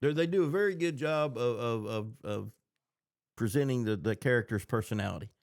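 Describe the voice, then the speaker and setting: A man's voice: medium pitch, fairly quick. A man co-hosting a podcast in casual chat, playful and often repeating himself as he thinks. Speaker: podcast co-host. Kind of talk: casual chat about books and shows.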